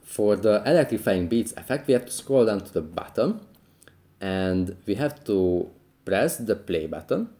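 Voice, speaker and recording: Low-pitched, mellow man with swooping intonation. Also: lively intonation, measured pace. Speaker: tutorial host. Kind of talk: scripted how-to narration.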